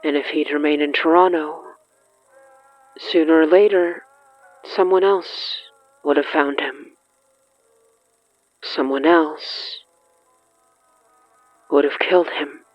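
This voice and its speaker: A narrator reading scripted dramatic horror fiction in a measured, deliberate way with dramatic pauses. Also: mid-range voice, fairly even intonation, no room sound, lo-fi sound. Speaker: narrator of an audio fiction podcast. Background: faint music.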